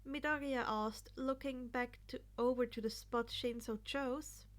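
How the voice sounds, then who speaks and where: A woman's voice: fairly high pitch, fairly even intonation, a little slow. A woman narrating fan fiction in a story-reading style. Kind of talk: story reading with character voices.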